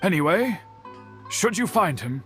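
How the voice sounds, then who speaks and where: A man playing an earnest, loyal young friend in a video game, speaking formally and with measured wording. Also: sits high, big pitch swings. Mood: concerned. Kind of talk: video game character acting. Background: music.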